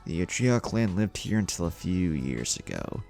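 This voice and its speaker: Male narrator plays assured young ninja: calm, plain-spoken, low gravelly tone, storybook dialogue over music. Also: normal speed, exaggerated voice. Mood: blunt, unrepentant.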